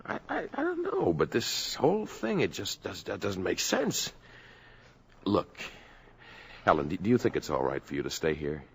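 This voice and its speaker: An actor playing a concerned, hesitant family friend in a 1950s radio drama. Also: male voice, deep voice, wildly swooping pitch, normal speed, vocal fry, a bit bright, breathy edge, full character voice. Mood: worried. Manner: hesitant, worried. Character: concerned grown man, calm family friend.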